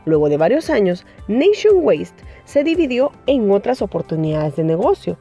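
A woman hosts a business podcast with a warm, mellow voice and animated intonation. She reads her introduction in a polished, measured way, with faint music underneath. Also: mid-range voice, husky. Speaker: podcast host. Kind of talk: scripted intro and interview questions.

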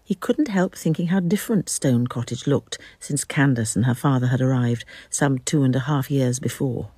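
An actress playing a measured storyteller with a mellow, husky voice in scripted literary radio drama narration. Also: female voice, extremely low pitch. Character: measured third-person storyteller.

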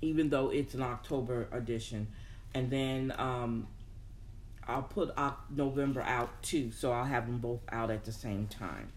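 Casting: motivational show host, mid-range pitch, informal, thinks aloud, leans on repeated words. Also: unhurried, a little hiss or hum. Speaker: host of a personal development show. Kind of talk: casual motivational talk to an audience.

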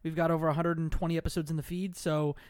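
A man with a fairly high, very clear voice, recorded dry and close. He talks casually as a podcast guest, with an enthusiastic way of putting things across. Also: level tone, fast, darkish tone, no echo.

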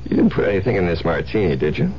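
An actor playing a weary, easygoing husband in an old radio drama, murmuring in a drowsy, drawn-out way. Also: male voice, low voice, wildly swooping pitch. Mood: relaxed, weary. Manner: slurred, drawn-out, sleepy murmuring. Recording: dull, noisy old radio recording.

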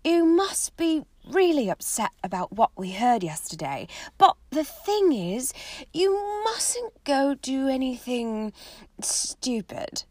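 A woman's voice: sits high, big pitch swings, slow pace, darkish tone, no room sound, voice pushed up, full character voice. A woman voicing an anxious, earnest teenage girl who pleads and fusses.

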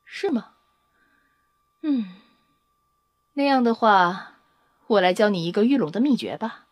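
A woman playing a confident, teasing scientist in an audio drama, brisk and matter-of-fact with a playful, self-satisfied manner. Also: mid-range voice, expressive, dry recording. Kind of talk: Chinese audio drama acting.

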